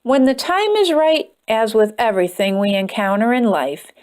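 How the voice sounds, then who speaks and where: Woman playing a wise old-friend dragonfly, soft and encouraging, high lilting voice. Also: expressive, normal speed, lightly acted. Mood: reassuring. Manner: gentle, encouraging. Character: kind wise male dragonfly, reassuring.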